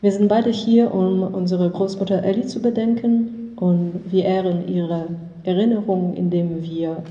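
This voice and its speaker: A young American woman, a native English speaker, giving a careful, halting memorial speech in a learned language and often correcting herself. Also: fairly low pitch, fairly even intonation, warm tone, highs cut off. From United States. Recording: echoey hall from far off.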